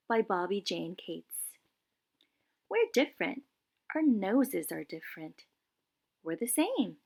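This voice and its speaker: A woman preschool teacher reading a children's picture book aloud in short, deliberate phrases for young listeners. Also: a little high, vocal fry.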